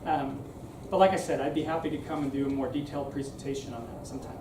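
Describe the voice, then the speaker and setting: A man's voice: mid-range voice, steady tone, quick pace. A man giving an informal public talk in a conversational, explanatory way, often checking in with "right?". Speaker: speaker giving a civic talk. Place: room at a live meeting. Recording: roomy, distant mic, clear background noise.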